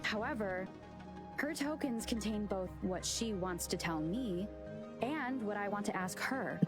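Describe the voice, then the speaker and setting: A woman playing a calm, analytical young wanderer in a video game, reasoning in a measured way. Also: mid-range voice, close to an everyday voice. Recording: loud game music behind. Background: game music.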